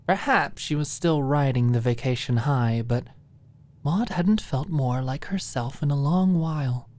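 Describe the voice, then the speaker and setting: Very deep-voiced, slow woman narrator. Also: darkish tone. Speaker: audiobook narrator. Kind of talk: scripted story narration, ASMR.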